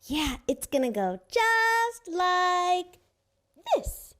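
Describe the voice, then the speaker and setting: A woman hosting a children's show, giving simple, encouraging instructions in an expressive way. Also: very high-pitched, very slow. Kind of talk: instructional kids' show presenting.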